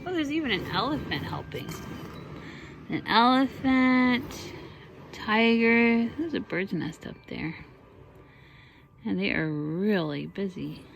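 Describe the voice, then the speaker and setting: A woman reading a picture book aloud to her small children as their mother, playfully exclaiming and chatting with them between lines in drawn-out, encouraging reactions. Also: medium pitch, expressive, dull audio. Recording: noisy home recording with clear background noise.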